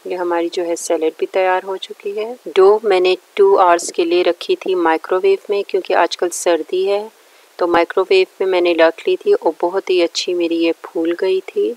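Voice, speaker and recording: A woman's voice: on the low side, little pitch movement, normal speed, a little mellow, no room sound, rough recording. A woman narrating a recipe step by step in a plain, instructional way. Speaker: cooking show host.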